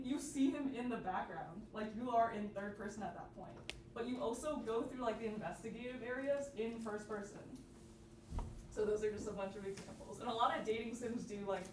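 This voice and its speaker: A young woman giving an informal workshop talk, saying "like" often, recorded from a distance in an echoey, noisy room. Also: fairly high pitch. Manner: informal, peppered with "like". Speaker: workshop presenter.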